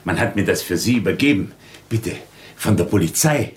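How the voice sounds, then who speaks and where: Guy playing a brisk matter of fact clerk in a radio drama, voice goes up and down a lot. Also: lowish voice, lively intonation, a little mellow, close to an everyday voice. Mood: brisk, indifferent. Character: brisk, offhand minor official delivering a summons.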